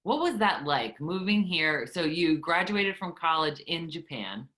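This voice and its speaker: Bright, enthusiastic low-voiced woman host. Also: sing-song, a little slow. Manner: enthusiastic, effusive. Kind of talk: casual interview.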